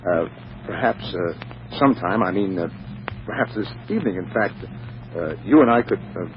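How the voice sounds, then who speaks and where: Shy stuffy businessman, prim stammer, highly animated pitch, 1940s radio comedy, muffled recording with faint hiss. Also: moderate pace. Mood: flustered.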